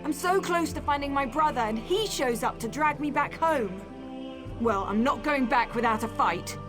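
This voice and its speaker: A woman's voice: sits high, expressive, moderate pace. Defiant young noblewoman over game music. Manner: defiant, wry. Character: headstrong, sarcastic young noble daughter on the run. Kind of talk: video game voice acting.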